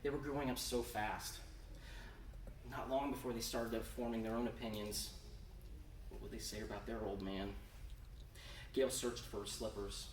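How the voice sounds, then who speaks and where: A man's voice: lowish voice, steady tone. Male author, dramatic story reading, theatrical emphasis, distant mic, roomy echo. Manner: intense, theatrical, with emphatic pauses. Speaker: author reading own fiction. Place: indoor convention reading room. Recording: roomy, distant, noisy live event recording.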